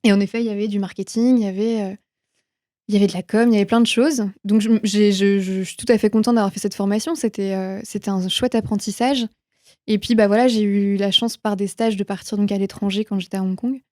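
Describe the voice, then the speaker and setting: A woman's voice: mid-range voice, steady tone, quick pace, darkish tone, no room sound, studio quality. A young woman from France being interviewed, telling personal stories in an informal, reflective way, often correcting herself as she goes. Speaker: interview guest, film production manager. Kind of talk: casual conversational interview, personal anecdotes.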